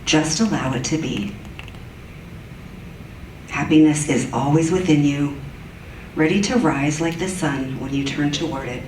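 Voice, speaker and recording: Low gentle woman voice guiding breathing, soothing, roomy echo, faint hiss behind. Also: a little slow, pauses often. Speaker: meditation leader. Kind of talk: guided meditation. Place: church sanctuary. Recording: echoey church room from far off.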